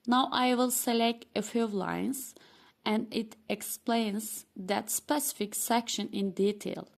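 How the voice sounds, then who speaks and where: A woman whose first language is Turkish, presenting a scripted walkthrough of software she built. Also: medium pitch, fairly even intonation, takes it slowly, vocal fry. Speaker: developer demoing her software. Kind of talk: scripted screen-recording walkthrough.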